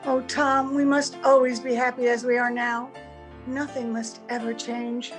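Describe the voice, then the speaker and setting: Dreamy young bride, played by an actress, high-pitched, naive and emotional, old-time radio style. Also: female voice, flat delivery, unhurried, soft background music, lightly acted. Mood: tender, fearful. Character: romantic, breathlessly happy young bride, naive and anxious.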